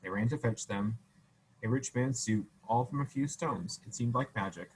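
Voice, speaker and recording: Regular guy reading a kids story out loud, trips over words, fixes them. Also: mid-range voice, moderate pace, a little hiss or hum, rough recording. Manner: casual, stumbling, self-correcting. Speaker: reader. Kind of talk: reading a children's story aloud.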